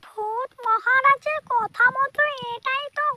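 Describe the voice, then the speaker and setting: A performer voicing a shrill, whining, vengeful female witch ghost in a cartoon, given to theatrical repeated exclamations. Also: steady tone, takes it slowly. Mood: eager. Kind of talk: Bengali cartoon voice acting. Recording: loud music behind the voice.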